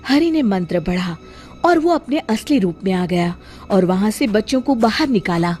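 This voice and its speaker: A woman narrating a children's moral story in an expressive, scripted storytelling style. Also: medium pitch, moderate pace, faint music behind.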